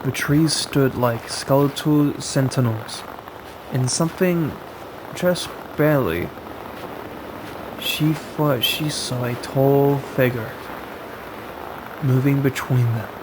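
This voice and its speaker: A man reading a scripted horror story as a storyteller, with deliberate, dramatic phrasing and frequent pauses. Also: mid-range voice, noisy background.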